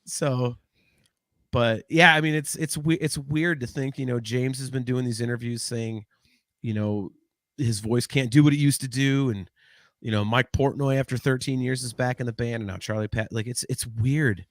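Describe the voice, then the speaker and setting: A man's voice: mid-range voice, steady tone, moderate pace, dry recording, clean studio sound. A man in his forties co-hosting a casual podcast chat, rambling and swearing with lots of false starts. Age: 40s. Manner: rambling, swearing, frequent restarts. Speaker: podcast co-host.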